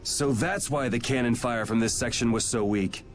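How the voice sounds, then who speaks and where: A man's voice: mid-range voice, normal speed, faint music behind, natural voice. Man voicing a blunt, wry young swordsman. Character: cocky young swordsman leader. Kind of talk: video game cutscene acting.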